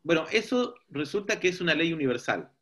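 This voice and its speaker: A man's voice: on the high side, very animated, fairly quick, pushed and bright. A man giving an informal teaching talk to a group, reflective and often checking in with rhetorical questions. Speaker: seminar teacher.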